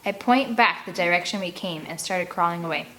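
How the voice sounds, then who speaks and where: A woman reading an audiobook aloud as host, with expressive narration and casual delivery. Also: lowish voice, fairly quick, a little hiss or hum.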